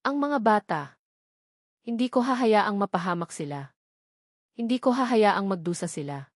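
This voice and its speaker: A woman's voice: mid-range voice, animated, moderate pace, dry recording, studio quality, lightly acted. A woman voicing an agitated, pleading, protective male schoolteacher in an audio drama. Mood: angry. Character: anguished male schoolteacher hiding secrets, protective, desperate.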